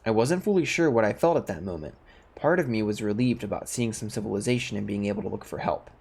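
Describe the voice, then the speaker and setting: A man's voice: on the low side, lively intonation, quick pace, creaky, darkish tone, slightly rough. A man who hosts gaming videos, reading story text aloud and commenting casually.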